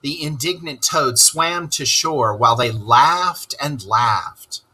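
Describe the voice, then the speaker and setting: A man reading a story aloud to children in an expressive narrating style. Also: medium pitch, slow, husky.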